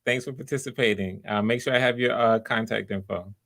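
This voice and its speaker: A man's voice: on the low side, brisk, a bit bright. A man hosting auditions in a casual, encouraging way, often repeating his words. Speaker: audition host.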